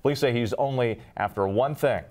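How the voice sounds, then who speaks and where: A man's voice: mid-range voice, a little fast, darkish tone, a little hiss or hum. A man with an American accent reading scripted television news as an anchor.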